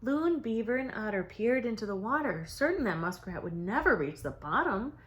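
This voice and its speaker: A woman reads a children's story as a storyteller for young kids, in a dramatic manner with drawn-out words and hushed moments. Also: fairly high pitch, expressive. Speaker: storybook reader for preschoolers. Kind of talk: expressive children's story narration.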